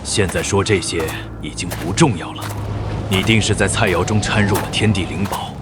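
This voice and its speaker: An actor playing an arrogant, ruthless young villain in an animated drama, haughty and menacing. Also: male voice, sits low, normal speed, close to an everyday voice. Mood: contemptuous. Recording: loud music behind the voice.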